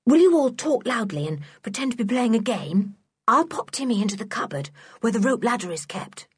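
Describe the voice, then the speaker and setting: A woman's voice: fairly high pitch, very animated, a little fast, slightly rough, no echo, pitched above the performer's own voice, exaggerated voice. A woman voicing a fiery, alert young girl with an urgent, decisive manner in a children's storybook. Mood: urgent, hushed. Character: fiery, alert girl protecting her dog.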